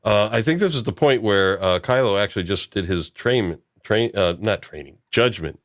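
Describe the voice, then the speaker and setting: A man hosting a casual discussion, recapping in a halting, loosely improvised way. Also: lowish voice, expressive, no echo. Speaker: host of a discussion show.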